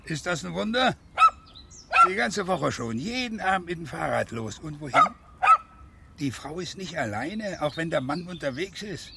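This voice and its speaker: An actor playing a gruff, lonely old farmer father in a radio drama, needling and blunt. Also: a little high, very animated, moderate pace, noisy background. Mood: pointed, gossipy. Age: older. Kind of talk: German radio drama acting.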